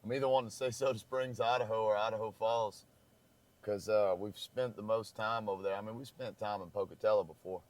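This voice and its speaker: A man's voice: on the low side, fairly even intonation, a little fast, darkish tone. A man who is losing his voice, chatting casually and rambling in a parked car. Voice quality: crackly voice. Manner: rambling, easygoing. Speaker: travel vlogger. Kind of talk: casual chat.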